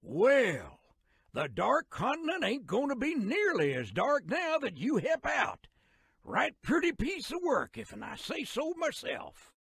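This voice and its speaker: An actor voicing a folksy, excitable old-timer railroad guide in a video game, with a rural American accent, a creaky voice and a colloquial, theatrical manner. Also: male voice, extremely high pitch, a little slow, heavily acted. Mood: gleeful.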